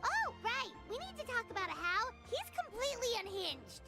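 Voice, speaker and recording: An extremely high, squeaky little fairy, excitable and chatty. Her childlike game-companion voice swoops up and down over loud music. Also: moderate pace, heavily acted. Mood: excited, nosy. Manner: chatty, speaks of herself in third person. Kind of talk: scripted video game voice acting. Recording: loud playful music behind.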